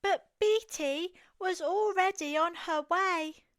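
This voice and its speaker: A woman with an English accent reads a picture book aloud to young children in an expressive, deliberate storytelling manner. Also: very high voice, dry recording, studio quality. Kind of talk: read-aloud picture book narration for toddlers.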